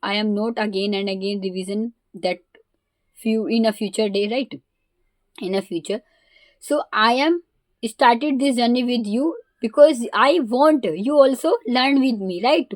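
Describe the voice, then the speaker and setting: A woman with an Indian accent, a Hindi native speaker, talking casually in halting learner English mixed with Hindi. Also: on the high side, no room sound. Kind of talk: casual vlog.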